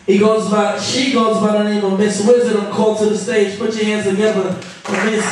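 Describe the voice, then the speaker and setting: A man's voice: sits high, level tone, moderate pace, distant mic. A man hosting an open mic, announcing from a stage. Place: small theater stage. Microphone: stage microphone, recorded by a distant camera. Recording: echoey room, picked up from afar.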